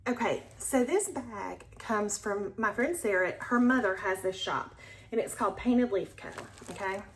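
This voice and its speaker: A woman hosting a cross-stitch video, chatting casually and with enthusiasm about her projects, sometimes dropping to a near whisper. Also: a little high. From Alabama, US. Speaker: cross-stitch channel host. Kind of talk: casual show-and-tell chat.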